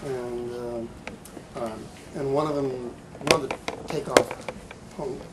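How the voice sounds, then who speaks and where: A man giving a live poetry reading in a bookstore, with halting, deliberate delivery, in an amateur recording. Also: medium pitch, steady tone, heavy background noise. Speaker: poet. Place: bookstore reading event, indoors.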